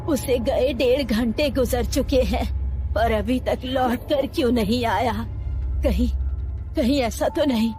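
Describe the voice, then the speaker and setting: Older village mother voiced by a woman, anxious, slow and high, for a storybook horror tale. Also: mellow. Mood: fearful prayer. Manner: slow, worried pleading. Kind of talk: storybook voice acting. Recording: faint music underneath.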